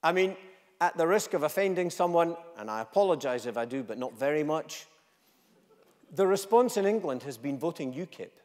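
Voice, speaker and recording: A middle-aged man with a Scottish accent giving a passionate conference speech from a stage, driving his points home by repeating them and swinging between loud and quiet. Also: a little high, expressive, moderate pace. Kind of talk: impassioned conference keynote speech. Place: large conference hall stage. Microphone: stage microphone, walking freely. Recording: long hall reverb.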